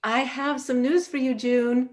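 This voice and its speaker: A woman's voice: sits high, fairly even intonation, measured pace. A woman in a staged reading, playing a forthright, courteous suburban neighbour who speaks with composure. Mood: composed. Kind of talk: staged reading of a satirical play. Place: video call.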